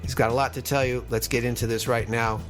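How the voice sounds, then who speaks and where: Give this the voice of a male podcast host around fifty, from Long Island. He talks in an average male pitch. His delivery is that of a casual interviewer introducing and questioning guests. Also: flat delivery, fast talker, soft background music. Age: about 50.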